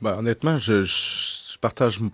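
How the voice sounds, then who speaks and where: A man in his forties with a Québécois French accent, a journalist answering interview questions, talking fast with many restarts and repeated words. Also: fairly low pitch, breathy edge, no echo. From Quebec. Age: 40s. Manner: lively storyteller. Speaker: journalist guest. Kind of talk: candid conversational interview answers.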